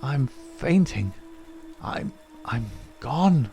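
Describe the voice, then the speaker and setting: Storybook invalid played by a male reader: weak, pleading, drawn-out faltering delivery, gasps, pitch lifted above normal. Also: expressive, a little mellow, faint music behind. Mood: faint, confused. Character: feeble, suffering dying man, pleading and confused. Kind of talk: dramatised audiobook reading.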